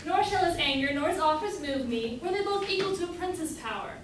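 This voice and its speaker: In a very echoey room, a woman acts a young merchant's daughter from an old stage comedy. Her high voice is bold, witty and constant, picked up from a distance. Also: lively intonation, brisk. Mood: bold, loving. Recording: echoey room from far off, faint noise.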